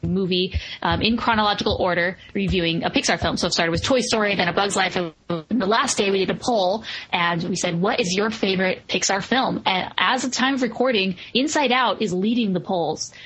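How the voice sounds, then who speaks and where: A woman's voice: medium pitch, fairly quick, a bit bright, slightly rough, rough recording. A woman hosting a film review podcast in a casual, conversational style. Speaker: podcast host reviewing films.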